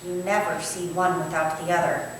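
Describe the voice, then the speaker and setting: A woman's voice: fairly low pitch, flat delivery, moderate pace, natural voice. A woman playing a kindly, deferential young Victorian housemaid, chatting in a homely way in a staged play reading. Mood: chatty, deferential. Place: indoor theatre space, staged reading. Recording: very echoey hall, distant mic, faint noise.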